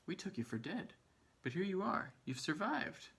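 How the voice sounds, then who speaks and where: A man's voice: high voice, big pitch swings, moderate pace, vocal fry, sharp tone, pitched above the performer's own voice, heavily acted. A man voicing anxious, astonished ants in a storybook, exclaiming with urgency. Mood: amazed. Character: anxious, astonished fellow ants calling up to a friend.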